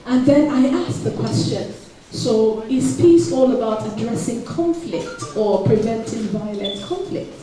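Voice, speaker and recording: A woman's voice: fairly high pitch, lively intonation, measured pace, dark tone. A woman with a Nigerian accent giving an explanatory public speech as an author at an event. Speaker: author speaking at book launch. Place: event hall. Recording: very echoey hall, distant mic.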